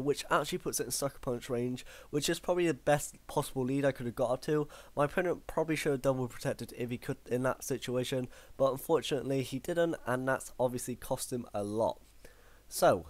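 A man with a croaky voice commentating his own online battle, thinking aloud with frequent ums and self-corrections. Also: medium pitch, no echo. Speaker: gaming commentator. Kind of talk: live battle commentary over gameplay.

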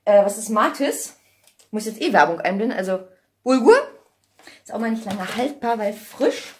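A woman's voice: medium pitch, animated, moderate pace. A woman, chatting casually to camera in an informal, thinking-aloud way on a phone at arm's length in a kitchen. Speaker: vlogger. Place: home kitchen. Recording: noisy handheld vlog recording with clicks.

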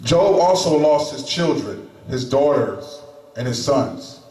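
A middle-aged man preaching a sermon in a small chapel into a handheld microphone, emphatic and pausing often. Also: mid-range voice, lively intonation, darkish tone. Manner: slow, emphatic preaching with pauses. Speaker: lay preacher. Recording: echoey room, camera at a distance.

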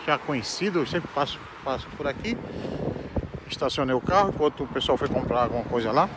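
A man's voice: medium pitch, expressive, brisk. Brazilian man vlogging in heavy outdoor noise. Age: middle-aged. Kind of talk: casual vlog narration while walking. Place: outdoors by a roadside building. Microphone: handheld phone at arm's length. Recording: very noisy outdoor wind and traffic.

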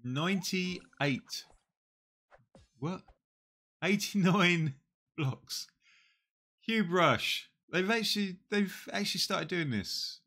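A man in his forties with a slightly nasal voice from a cold, quietly talking through a mobile puzzle game on a headset. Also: high voice, animated, breathy edge. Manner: slow, muttering play-by-play, often trailing off. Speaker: gaming channel host. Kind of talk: casual mobile game commentary. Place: small room indoors.

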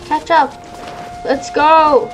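A woman's voice: high-pitched, level tone, extremely slow, slight background noise, low-quality recording. A woman giving casual gameplay commentary, cooing over and cheering on her characters. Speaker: gamer commentating a playthrough. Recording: game music loud behind voice. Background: loud video game music.

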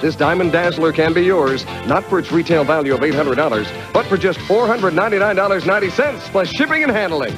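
Male prize announcer, high voice, slick scripted sell over music. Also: expressive, fast talker, few pauses. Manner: polished salesman patter. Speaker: game show announcer.

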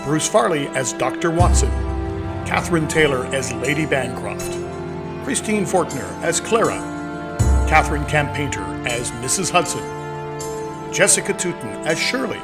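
A man announcing scripted credits and sponsor notices. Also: fairly high pitch. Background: loud music.

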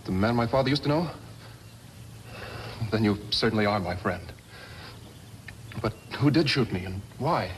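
Man acting young idealistic engineer, low voice, polite, slightly halting, 1950s radio drama, faint background noise. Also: expressive, natural voice. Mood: shaken, puzzled. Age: young adult.

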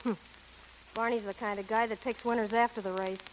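A woman's voice: on the high side, steady tone, fairly quick, lightly acted. An actress playing a breezy, tough showgirl with a flip, slangy manner in 1930s radio style. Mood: dry, casual. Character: breezy, wisecracking showgirl friend, tough and dry. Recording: noisy 1930s radio broadcast.

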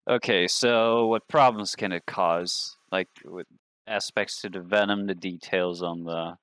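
A man's voice: low-pitched, fairly even intonation, measured pace, fairly bright tone, smooth, no room sound. A man playing a tabletop game, casually asking questions as a player.

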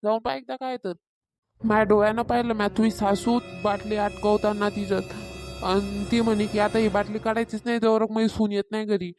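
Woman playing a gossipy older village neighbour, mid-pitched. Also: little pitch movement, a little fast, music in the background, natural voice. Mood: insistent gossiping. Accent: rural Maharashtra. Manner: insistent, tattling. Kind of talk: Marathi cartoon comedy dialogue.

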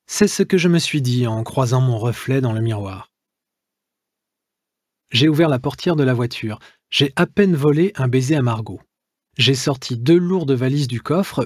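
A man reading his own memoir aloud as an audiobook, in a measured, literary first-person narration. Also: medium pitch, darkish tone, breathy edge, no echo, clean studio sound.

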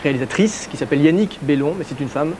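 A man in his thirties presents a film pick on a TV studio set, chatty and explanatory. His voice sits a bit high, with faint noise behind. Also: quick pace, darkish tone. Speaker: DVD columnist. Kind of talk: presenting a film segment on a talk show. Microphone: lapel mic.

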